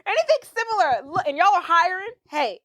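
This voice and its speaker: High-voiced playful woman podcaster. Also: a little fast, dry recording. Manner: playful, animated, jokey retelling. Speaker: podcast co-host. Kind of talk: casual movie recap chat.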